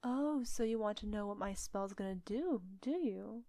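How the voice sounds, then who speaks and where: A woman playing a confident, teasing witch in an intimate audio roleplay, soft and breathy with frequent drops into a whisper. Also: mid-range voice, no echo, lightly acted. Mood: teasing, challenging. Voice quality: breathy, often dropping to a whisper. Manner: teasing, coaxing, unhurried.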